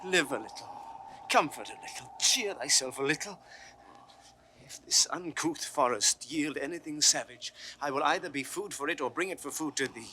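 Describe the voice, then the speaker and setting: An actor playing a devoted, protective young master, earnest and urgent, in a 1960s classical Shakespeare audio drama. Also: male voice, fairly high pitch, lively intonation, normal speed, bright, forceful tone, low-quality recording. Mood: tender urgency.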